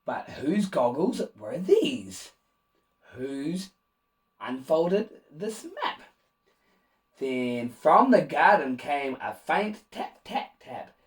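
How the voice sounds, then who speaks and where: Animated man reading a children's story. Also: fairly high pitch, drawn-out words, distant mic. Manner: animated, with asides and questions to young listeners. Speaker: children's storybook reader and host. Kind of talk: expressive read-aloud of a rhyming children's picture book.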